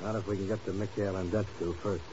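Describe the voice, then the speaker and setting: An actor playing a steady, tough-minded undercover hero in a 1950s radio drama, clipped and matter-of-fact. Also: male voice, on the low side, fast, close to an everyday voice. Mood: steady, serious. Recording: very noisy, muffled old radio recording.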